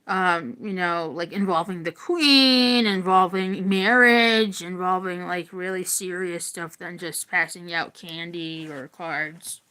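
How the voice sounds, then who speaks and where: Slow-paced woman reading aloud. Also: medium pitch, sharp tone, smooth, rough recording. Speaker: educator reading a book aloud. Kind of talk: read-aloud of a children's nonfiction book with casual asides.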